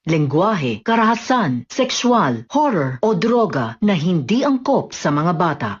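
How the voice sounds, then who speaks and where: A woman's voice: on the low side, big pitch swings, measured pace, husky, highs cut off. A woman announcer reading a scripted content advisory on the radio.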